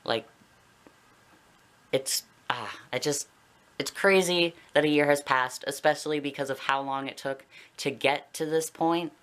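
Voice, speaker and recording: A man's voice: fairly high pitch, unhurried, fairly bright tone, smooth. A young adult man with a Canadian accent talking casually and candidly to viewers in a personal vlog, rambling a little. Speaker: video blogger.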